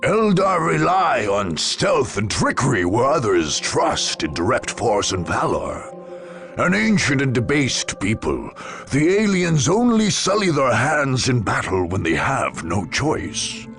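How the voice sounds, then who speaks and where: An actor playing a grave war chronicler, narrating a game in a solemn, theatrical, deliberate manner. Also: male voice, mid-range voice, sing-song, fairly bright tone, soft background music. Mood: grave, scornful. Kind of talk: dramatic scripted game narration.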